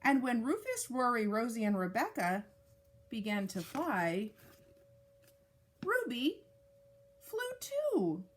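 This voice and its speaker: A woman reading a picture book aloud to young children, with deliberate, expressive phrasing and casual asides. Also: on the high side, breathy edge. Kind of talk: read-aloud storytime narration with casual asides.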